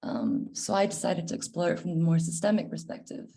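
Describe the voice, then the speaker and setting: Female guest speaker from Malaysia, twenties, warm dark timbre, informal conversational talk from home. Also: on the low side, animated, a little fast. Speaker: guest presenter, researcher. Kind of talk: informal online presentation. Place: living room at home, indoors. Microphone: video call, laptop.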